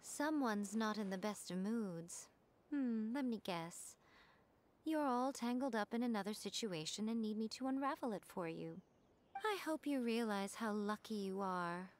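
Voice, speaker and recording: An actress playing a sly, teasing woman of high rank, languid and knowing as she speaks to a younger companion. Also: expressive, unhurried, slight background noise, lightly acted. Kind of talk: video game voice acting.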